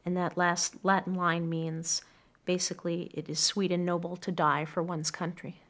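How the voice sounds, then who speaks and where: A woman's voice: on the low side, flat delivery, moderate pace, a bit bright. A middle-aged woman speaking in an earnest, thoughtful way, reflective as she shares personal words or poetry. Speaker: poetry reader telling family memories. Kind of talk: reflective personal storytelling and poem reading. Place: quiet furnished room indoors.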